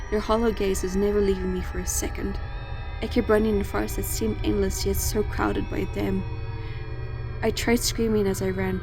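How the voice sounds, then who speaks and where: A woman reading a scripted first-person horror story in a sombre, controlled way. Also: mid-range voice.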